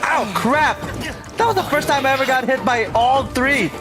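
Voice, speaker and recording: A game streamer giving playful, excitable playthrough commentary, often repeating phrases in excitement. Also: high-pitched, moderate pace, over music.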